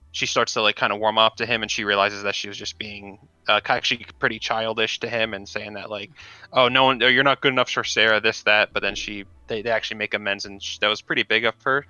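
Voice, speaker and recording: A man's voice: lowish voice, bright, forceful tone, clear, resonant tone, dry recording. A young man co-hosting a casual gaming podcast, rambling through ideas with frequent restarts and fillers. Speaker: podcast co-host. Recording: dull lo-fi sound with faint music.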